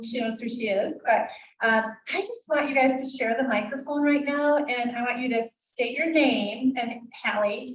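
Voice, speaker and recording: A woman hosting a live bookstore event, quizzing young guests with quick questions in an upbeat way. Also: fairly high pitch. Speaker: host of an author event. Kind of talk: casual live event moderating. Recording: roomy, from a distance.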